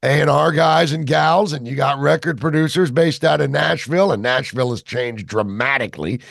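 A man with a very gravelly, slightly high voice chats keenly as a talk radio host. The sound is close and dry, with no room. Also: moderate pace, a bit bright, no echo. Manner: chatty and enthusiastic.

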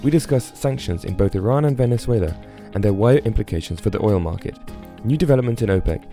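A man hosting a podcast, reading a scripted introduction in a smooth, read-out delivery. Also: lowish voice, lively intonation, quick pace, dark tone. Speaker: podcast host. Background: faint music.

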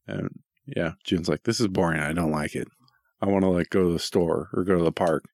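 A man's voice: deep voice, a little fast, creaky, darkish tone, no echo, clean studio sound. A middle-aged American man chatting casually as a podcast co-host, rambling and self-deprecating, with some easy swearing. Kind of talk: casual chat.

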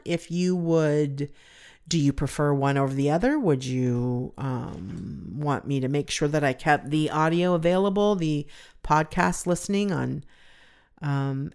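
A middle-aged woman with a deep, resonant voice hosting her own podcast, rambling in a chatty, self-deprecating way. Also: a little mellow. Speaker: podcast host. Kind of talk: casual solo podcast talk.

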